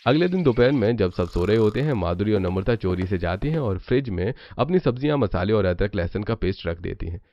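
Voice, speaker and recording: A man's voice: fairly low pitch, lively intonation, brisk, hardly any pauses, warm tone. A man narrating a scripted cartoon family story. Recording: muffled audio, clear background noise.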